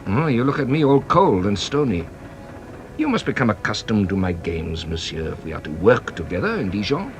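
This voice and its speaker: An actor playing a shrewd, playful French police inspector in a British radio drama, with a French accent and a teasing, theatrical delivery. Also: male voice, on the low side, big pitch swings, moderate pace, slight background noise. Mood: playful, mocking.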